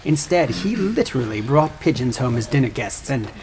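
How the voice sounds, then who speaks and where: An actor playing a sarcastic, pompous prosecuting attorney in a courtroom audio drama, with oratorical, scornful delivery. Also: male voice, mid-range voice, very animated, moderate pace, natural voice. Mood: mocking. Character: sneering male prosecuting attorney, theatrical and scornful. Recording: clearly noisy track with clicks.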